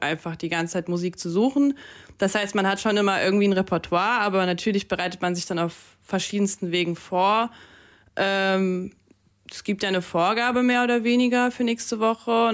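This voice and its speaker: A young woman whose first language is Russian, a DJ talking casually as a guest in a campus radio studio, with lots of fillers and English slang. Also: on the low side. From Germany. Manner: chatty, many fillers and English slang words. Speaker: DJ, interview guest.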